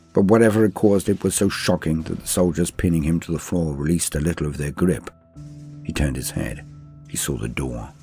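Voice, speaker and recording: A man giving a grave, theatrical reading of a dramatic story in deliberate phrases. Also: deep voice, dark tone. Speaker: narrator.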